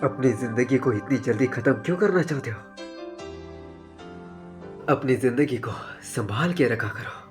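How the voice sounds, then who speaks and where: Man as a gentle anxious schoolboy over music. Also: mid-range voice, animated, measured pace, long pauses, pitched below the performer's own voice, natural voice. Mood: relieved, caring. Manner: hesitant, worried inner monologue. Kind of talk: anime fan dub acting.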